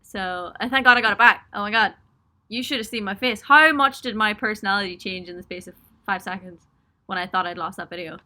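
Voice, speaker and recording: Young woman rambling on a webcam stream from her little home studio, bright voice, giggles a lot, lots of ums. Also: medium pitch, a little fast. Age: young adult. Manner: chatty and giggly, self-mocking, frequent ums and laughs. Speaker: musician hosting a livestream for supporters. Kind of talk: casual live chat answering viewer questions. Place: small indoor home studio room.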